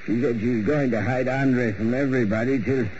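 An actor playing an injured, shaken theatre assistant, halting and weak, in 1940s radio drama style. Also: male voice, medium pitch, fairly even intonation, a little mellow, close to an everyday voice. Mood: dazed, hurting. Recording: muffled old radio recording, faint noise.

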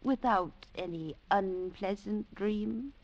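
A woman's voice: mid-range voice, a little mellow. An actress playing a mature mother in a 1940s radio drama, gracious and insinuating with veiled menace. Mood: silky menace. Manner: deliberate, silky, insinuating. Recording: dull vintage radio recording.

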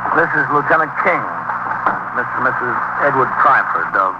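Authoritative male police captain played by an actor, medium pitch, dry and composed, muffled vintage radio drama. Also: expressive, normal speed, natural voice. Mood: dry, firm. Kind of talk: 1950s radio drama acting. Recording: very noisy muffled 1950s radio recording.

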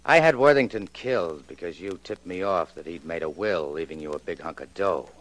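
A low male voice, a smug American crime boss. He is blunt, menacing and self-satisfied, straight out of a 1940s radio crime drama. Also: fairly quick, a bit bright, no echo, poor audio. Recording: old 1940s radio recording.

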